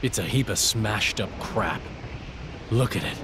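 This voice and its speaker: A man's voice: on the low side, animated, unhurried, background noise. An actor playing a cold, world-weary swordsman villain in a video game, brooding and contemptuous. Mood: bitter, contemptuous. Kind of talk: video game cutscene acting. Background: loud music.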